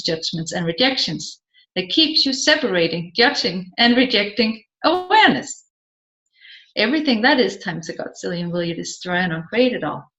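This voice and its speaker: A woman reads scripted seminar material as an audiobook. Her delivery is slow and deliberate, with emphatic rhetorical questions. The recording is a little muffled, with a noticeable room echo. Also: mid-range voice, a bit bright. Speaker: audiobook narrator. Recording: slightly dull, roomy echoing sound.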